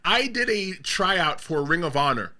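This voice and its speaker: Podcast host, man, middle-aged, high voice with a very bright edge, rambling casual storyteller. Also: very animated, a little slow. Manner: rambling storyteller, laughs loudly.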